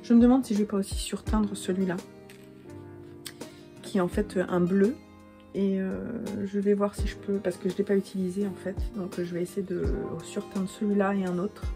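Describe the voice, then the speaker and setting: A woman in her thirties talks straight to a close camera in a small room at home, nasal from a cold. Her voice sits at an ordinary middle pitch, and she rambles along casually with plenty of filler and little jokes at her own expense. Faint music plays underneath. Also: steady tone, normal speed, warm tone. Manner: chatty and self-mocking, many hesitations. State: has a cold. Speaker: knitting vlogger and yarn dyer.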